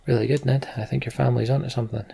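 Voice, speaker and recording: A man's voice: mid-range voice, steady tone, racing, vocal fry, mellow, breathy edge. A man voicing a kindly, protective father who leads a caravan in a story game, plain-spoken and encouraging.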